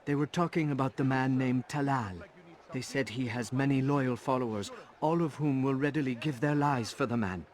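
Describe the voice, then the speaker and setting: An actor playing an eager young apprentice informant in a video game, earnest, formal and flattering. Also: male voice, medium pitch, moderate pace, natural voice. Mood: grateful, informative.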